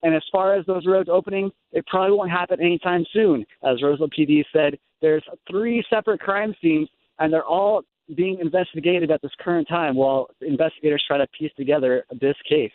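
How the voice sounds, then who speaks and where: A man's voice: fairly high pitch, a little fast, hardly any pauses, no room sound. American male field reporter on a phone line. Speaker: radio news field reporter. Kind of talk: live on-scene breaking news report. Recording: thin phone-like live radio line.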